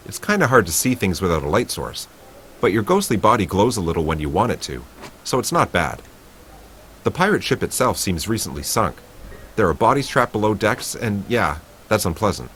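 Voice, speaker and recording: A man's voice: on the low side, a bit bright, slight background noise. A man reading a text adventure game aloud with playful asides.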